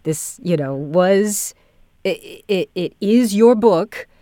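A woman's voice: mid-range voice, animated, slow, warm tone, no echo, clean studio sound. A woman hosting a podcast, chatting casually with her guest in an interview. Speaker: podcast host, audio drama director.

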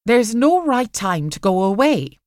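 A woman's voice: on the high side, sing-song, measured pace, darkish tone, dry recording, clean studio sound, pitched above the performer's own voice. A woman reading a storybook, voicing a firm, encouraging spinster sister who is keen for adventure.

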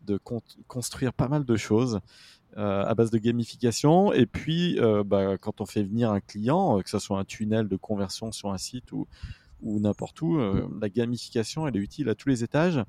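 A man's voice: on the low side, normal speed, warm tone, no room sound. A man hosting a business podcast with an informal, conversational manner. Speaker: podcast host. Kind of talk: scripted intro and conversational interview.

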